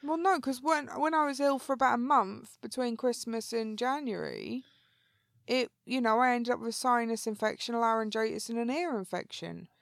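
A woman's voice: a little high, moderate pace, creaky, dry recording, clean studio sound. Chatty woman, phlegmy voice. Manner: chatty, rambling storyteller. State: phlegmy, congested. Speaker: podcast co-host. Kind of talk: casual podcast chat.

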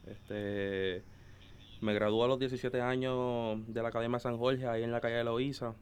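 A man's voice: mid-range voice, little pitch movement, moderate pace, smooth. A man in his twenties with a Caribbean Spanish accent, telling his story casually as a podcast guest. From Dominican Republic and Puerto Rico. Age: 20s. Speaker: podcast guest, photographer and videographer.

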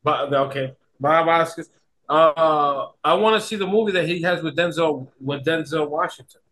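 A man with a bright, forward voice that sits a bit high. He banters casually and emphatically, slangy and repetitive, like a guest hanging out on a podcast. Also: normal speed. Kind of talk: casual banter about movies and games.

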